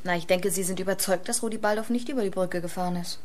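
An actress playing a brisk, composed, pointed office clerk in a German radio crime drama. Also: female voice, lowish voice, steady tone, natural voice. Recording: clear background noise.

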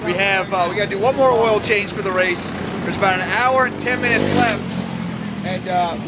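A man's voice: high voice, moderate pace. A young man giving casual vlog updates as a kart racer, in a raised voice on an action camera outdoors. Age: young adult. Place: outdoors at a kart track, under canopy or in open lot. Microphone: action camera at arm's length. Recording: loud engine and outdoor ambience noise. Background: loud go-kart engines.

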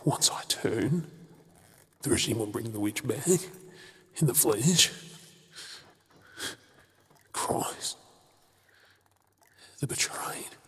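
An Australian man playing an anguished, defiant captive werewolf boyfriend in an ASMR drama, moving between breathy whispers and desperate pleas. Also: medium pitch, extremely slow, pauses often, a bit bright, exaggerated voice. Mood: grieving, guilty. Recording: music under the voice.

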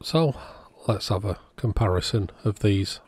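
A man's voice: fairly low pitch, expressive, measured pace, darkish tone, slightly rough. A man giving a casual, matter-of-fact workbench narration as the host of an electronics repair video.

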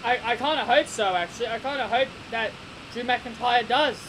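A young man giving a casual wrestling review from his bedroom, excitable and repeating himself with stammering restarts, recorded on a desk webcam. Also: extremely high pitch, moderate pace. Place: small bedroom. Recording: noisy home webcam recording.

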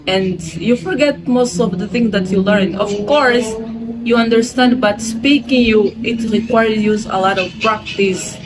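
A young woman from Angola, a guest in a casual interview, talking in a relaxed, thoughtful way with soft laughs. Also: medium pitch. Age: young adult. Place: indoors, room with a red wall and a barred window. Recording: noisy home video.

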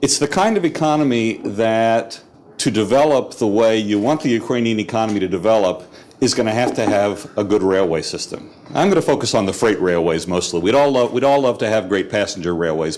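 An American man in his sixties speaking measured panel remarks into a handheld mic in a conference hall, with dry asides and some restarts. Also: on the low side, expressive, a little mellow. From United States.

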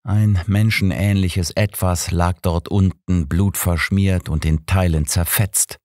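Low voiced guy reading a crime audiobook, measured storytelling, really dry studio sound. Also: fairly even intonation, darkish tone, no echo. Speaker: audiobook narrator. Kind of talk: scripted dramatic narration of a detective story.

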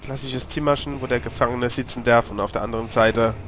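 A German-speaking man with a cold, slightly hoarse, giving chatty commentary while playing a horror game and talking to his character. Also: mid-range voice, fairly even intonation, fairly quick, fairly bright tone, slight background noise. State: has a cold, clearing his throat. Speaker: let's play gamer. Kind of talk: casual gameplay commentary.

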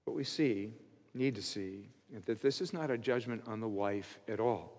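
A man in his sixties, a pastor, preaching an expository sermon from a church pulpit, earnest and rising in pitch and volume on key points. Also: normal speed, darkish tone. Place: church stage behind a pulpit. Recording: long hall reverb of a church sanctuary.

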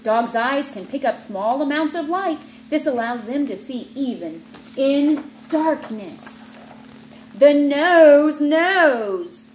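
A woman's voice: high-pitched, animated, slow, darkish tone. A woman in her thirties reading a children's book aloud expressively, like a teacher, breaking off into playful, chatty asides. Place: indoors in an open room at home with high ceiling. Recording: roomy home recording with clear background noise.

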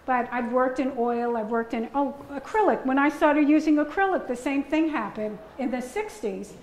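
An older woman in her seventies speaks with a thick Bronx New York accent, her high voice rambling and restarting as she laughs her way through audience questions. She talks in a roomy museum gallery picked up by a distant camera. A faint room hum sits under her. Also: normal speed, darkish tone. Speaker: artist giving a talk.

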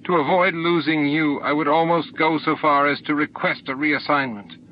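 A man's voice: a little high, steady tone, normal speed, voice pushed up, natural voice. A man voicing an honorable, troubled male alien in an audiobook, earnest and formal in his speech. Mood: anguished. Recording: dull noisy audiobook recording.

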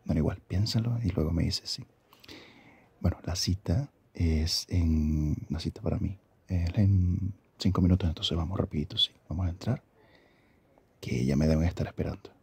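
A man speaking softly and affectionately in an intimate ASMR boyfriend roleplay, with a husky, gravelly voice and an unhurried manner. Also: sits low. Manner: soft-spoken, affectionate, unhurried.

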